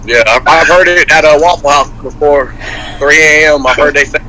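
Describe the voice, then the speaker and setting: A man's voice: a little high, steady tone, normal speed, pushed and bright, a little hiss or hum, low-quality recording. A man guesting on an interview, playful and goofy as he jokes with the group. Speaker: rapper guest. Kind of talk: casual interview banter.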